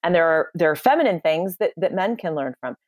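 A woman speaking with a fairly low pitch, confident and emphatic as she coaches on communication. Her voice is close and dry, with no room sound behind it. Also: animated, a little fast, dry recording. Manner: confident, emphatic, advice-giving. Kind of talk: podcast interview answers.

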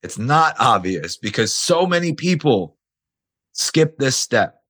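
A man, hoarse and nearly losing his voice, hosting a casual solo podcast and stressing his points with the odd swear. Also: medium pitch, very animated, slow pace, fairly bright tone. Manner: casual, emphatic, swears now and then. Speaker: podcast host.

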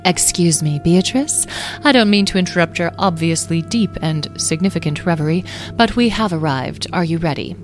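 A woman voicing a tiny, formal fairy who speaks in precise, elaborate phrases, with soft music behind. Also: on the low side, expressive, normal speed, lightly acted. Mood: commanding.

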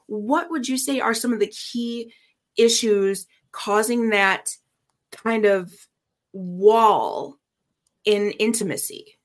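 A woman's voice: medium pitch, slow. A woman hosting a podcast interview, who keeps affirming her guest with short replies and asks open questions. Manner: affirming, frequent short backchannels. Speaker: podcast host.

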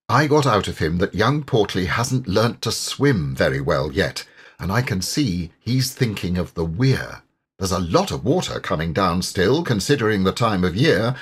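A man's voice: lowish voice, breathy edge. A man voicing a kindly water rat for an audiobook, a grave and earnest friend full of concern. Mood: grave, worried. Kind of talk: audiobook character voice.

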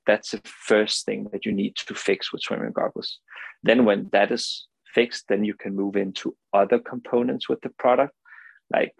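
Danish guy in an interview, low gravelly voice, kinda husky, restarts a lot and keeps saying right. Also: dry recording, rough recording. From Denmark. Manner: earnest, many restarts and "right?" tags. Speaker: founder of a swim goggle company. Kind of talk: podcast interview.

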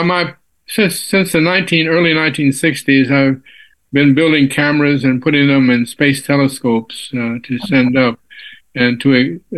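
A ninety-year-old man from Texas answers questions as an interview guest. He talks in an unhurried, halting way, full of pauses and restarts. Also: medium pitch, low-quality recording. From Texas, USA. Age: 90s. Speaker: physicist guest. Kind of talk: casual interview.

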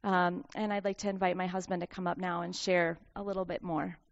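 A woman missionary giving an earnest report to a church congregation, with frequent filler pauses. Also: lowish voice, level tone, muffled recording, slight background noise. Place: church sanctuary.

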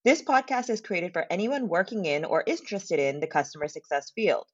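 Low-voiced woman hosting a podcast. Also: animated, fairly quick, breathy edge, dry recording, dull audio. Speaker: podcast host. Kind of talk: scripted podcast intro and interview.

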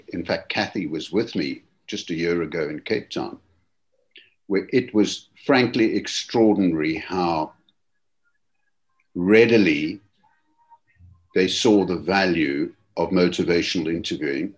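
A man leading a webinar discussion, speaking in a gentle, reflective and courteous way over a video call. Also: sits low. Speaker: webinar panelist and host.

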